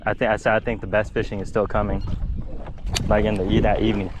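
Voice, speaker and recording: A man's voice: lowish voice, fast. A middle-aged man vlogging from a small fishing boat, chatting casually in slang and whooping when he hooks a fish. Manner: excited whoops and laughs, slangy. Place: small boat on a marshy river, outdoors. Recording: outdoor wind and water noise.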